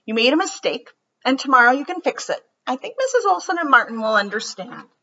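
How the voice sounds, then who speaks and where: Woman reading a kind comforting father, calm and soothing, bright voice, dull audio. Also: sits high, fairly quick, a little hiss or hum, pitched above the performer's own voice, exaggerated voice. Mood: reassuring. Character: gentle reassuring father. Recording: dull lo-fi recording.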